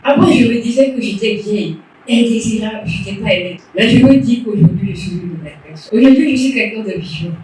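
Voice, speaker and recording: A woman's voice: medium pitch, quick pace, darkish tone, a little hiss or hum. A woman, giving a fervent, emphatic testimony at a meeting, repeating her declarations. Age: 50s. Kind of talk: emotional public testimony. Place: meeting hall. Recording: echoey hall from far off.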